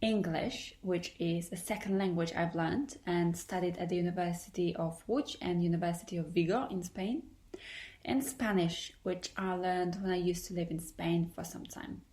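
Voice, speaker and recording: A young woman whose first language is Polish speaks English with a Polish accent. Her voice sits a little low. She talks to listeners of her solo podcast in a careful, earnest, slightly formal way, as an English teacher would. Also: fairly even intonation, normal speed, warm tone.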